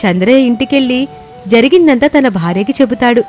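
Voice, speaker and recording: A woman's voice: fairly high pitch, expressive, fairly quick, a little mellow, soft background music. A woman reading scripted narration for a moral storybook tale.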